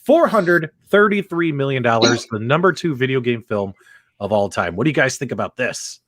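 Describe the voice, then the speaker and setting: A man hosting a casual livestream countdown, excitable and teasing, with lots of exclamations. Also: mid-range voice, moderate pace, dry recording. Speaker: live stream host. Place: online group video stream.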